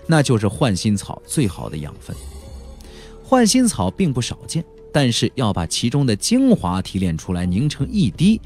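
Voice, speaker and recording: A man narrating a fantasy novel as a dramatic audiobook storyteller, theatrical, with drawn-out emphasis and colloquial touches. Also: on the low side, a little mellow.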